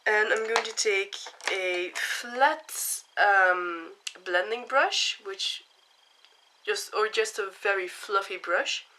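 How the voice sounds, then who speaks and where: A young woman with a cold and a hoarse voice, chatting casually as she explains each step of a makeup tutorial. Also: medium pitch, slow, pushed and bright, slight background noise.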